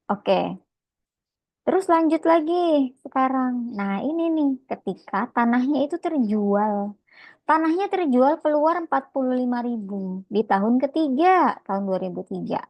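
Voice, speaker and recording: A woman's voice: a little high, vocal fry, a little mellow, no room sound. A woman lecturing informally on accounting step by step, conversational and often checking in with short tags.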